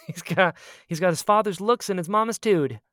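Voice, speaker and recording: A woman's voice: fairly low pitch, moderate pace, a bit bright, no echo, clean studio sound. A woman podcast host chatting casually, playful and self-deprecating in her banter.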